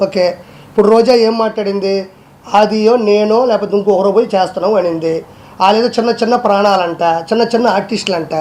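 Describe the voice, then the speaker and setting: A man's voice: sits high, fairly even intonation, fast, darkish tone. A man delivering a heated rebuttal in a combative way, firing off one rhetorical challenge after another.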